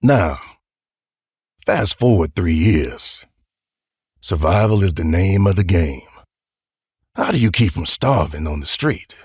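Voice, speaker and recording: Male intro voice, deep and raspy, dramatic scripted narration, slow and dry. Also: lively intonation, dry recording.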